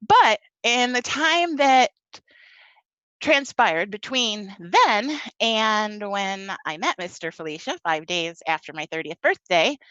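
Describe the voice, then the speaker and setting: A woman's voice: medium pitch, lively intonation, slow pace, a bit bright, dry recording, highs cut off. A woman around forty giving a prepared personal talk in a candid, wry, emphatic conversational way. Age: 30s to 40s. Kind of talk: prepared personal testimony read aloud, conversational.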